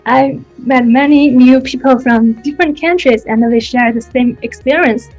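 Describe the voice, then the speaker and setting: A woman with a fairly high voice speaks simple, non-native English in a testimonial about her classes. Soft music runs beneath her voice. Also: normal speed, lo-fi sound. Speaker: adult English learner. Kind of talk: testimonial interview.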